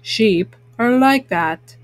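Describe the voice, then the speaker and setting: A woman voicing a folksy old male bear farmer, calm, wise and plain-spoken, giving gentle advice in a children's storybook. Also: a little high, very slow, no room sound, voice pushed down. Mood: wise, advising.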